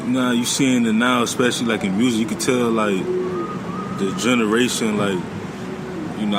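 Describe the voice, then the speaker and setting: A man in his twenties hosting a podcast interview, relaxed and slangy. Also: on the low side, heavy background noise. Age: 20s. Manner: relaxed, slangy, frequent agreeing. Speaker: podcast host.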